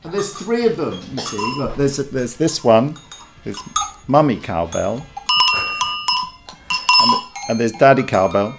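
Male British co-host for casual podcast banter: mid-pitched but very animated, chuckly, meandering, playful. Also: unhurried, pauses often, heavy background noise.

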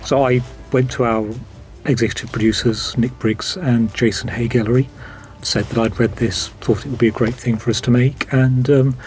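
A man's voice: medium pitch, steady tone, moderate pace, darkish tone, soft background music. A man giving a behind-the-scenes interview account as a producer. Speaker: audio drama producer.

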